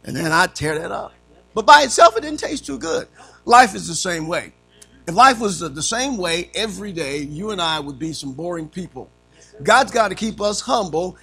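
A man teaching Sunday school in a folksy way, his voice a little raspy from allergies, dropping in amens often. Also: high-pitched, fairly bright tone. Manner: punctuates with frequent amens, calls to the class.

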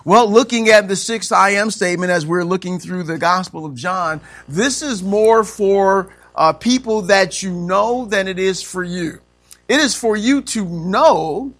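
A man's voice: sits high, a bit bright. A man, preaching a sermon as pastor, punctuating points with "amen" and rising emphatic questions. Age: 50s.

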